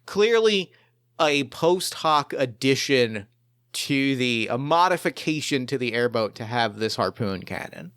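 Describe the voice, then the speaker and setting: A man improvising narration as game master of a tabletop roleplaying game, speaking haltingly and repeating phrases as he builds his descriptions. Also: mid-range voice, animated, fairly bright tone, smooth, no room sound, clean studio sound.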